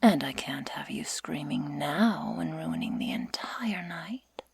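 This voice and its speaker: A woman voicing a sadistic, mockingly tender female werewolf in a breathy, husky, deliberate whisper for an ASMR roleplay, close to the microphone. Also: low-pitched, sing-song, no echo, exaggerated voice. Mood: cold, menacing. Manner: slow, taunting, deliberate delivery with sighs.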